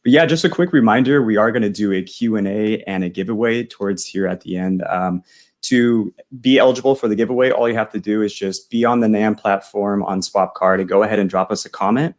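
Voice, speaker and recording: A man's voice: fairly low pitch, fairly quick. An American man in his thirties presenting products in a casual style as a host.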